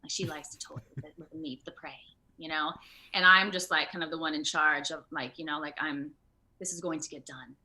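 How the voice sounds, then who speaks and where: A woman with a slightly low, bright voice talks casually as an interview guest. She is chatty and expressive, working out her thoughts aloud in loose, informal phrases. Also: a little fast, poor audio. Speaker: guest, video game voice actor. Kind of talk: casual animated interview chat. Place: remote video call.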